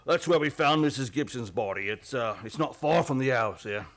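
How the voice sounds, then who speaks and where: An actor playing a plain-spoken, eager local police sergeant in a radio play, folksy, deferential and a little hesitant. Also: male voice, medium pitch, expressive, moderate pace, lightly acted. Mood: grateful, obliging.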